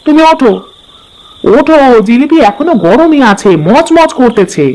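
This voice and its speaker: A woman's voice: high-pitched, expressive, measured pace, darkish tone, low-quality recording. A woman voicing a bossy, caring village housewife in a cartoon. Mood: homely. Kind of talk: cartoon voice acting.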